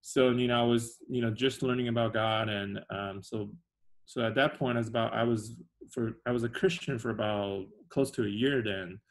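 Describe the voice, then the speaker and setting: A young man from China, a podcast guest telling his story in a soft-spoken, rambling way. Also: mid-range voice, steady tone, normal speed. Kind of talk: casual interview.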